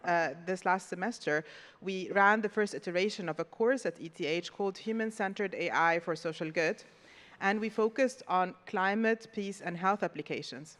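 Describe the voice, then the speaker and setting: A woman's voice: on the low side, normal speed, fairly bright tone. A woman lecturer on a conference panel, laying out examples in an organized way. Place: conference hall. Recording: long reverb from a large hall.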